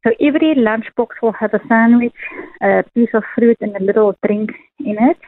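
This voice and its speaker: A woman's voice: on the high side, normal speed, no room sound. A woman from South Africa whose first language is Afrikaans, speaking earnestly as a guest author with many fillers. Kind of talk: phone interview. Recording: tinny phone line, dry.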